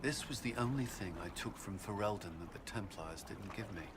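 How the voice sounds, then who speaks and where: A man playing an earnest former Templar commander haunted by guilt, speaking haltingly and formally in a dramatic video game. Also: lowish voice, expressive, noisy background, lightly acted. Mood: tender, reflective.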